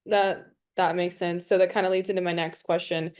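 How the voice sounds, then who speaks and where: A woman's voice: on the low side, flat delivery, brisk. A woman interviewer asking casual questions and responding in an upbeat, affirming, informal way.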